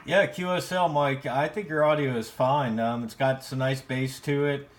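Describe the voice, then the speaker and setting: American man, medium pitch, casual amateur radio chat, hesitant repetitive phrasing. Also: fairly even intonation, normal speed. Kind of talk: casual ham radio conversation.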